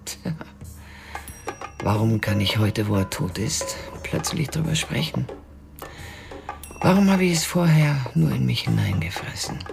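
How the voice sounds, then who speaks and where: A woman playing a bitter, haughty betrayed widow in a German radio drama, imperious and cutting, with a low, gravelly voice. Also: very animated, a little slow, pauses often, full character voice. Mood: wounded, reflective. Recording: music behind the voice.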